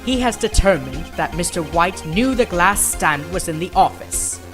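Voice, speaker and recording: A man voicing a composed, condescending prosecutor in a courtroom game fandub, speaking formally and deliberately. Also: high voice, animated, over music. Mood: confident, arguing. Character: composed, haughty male prosecutor, condescending and exacting.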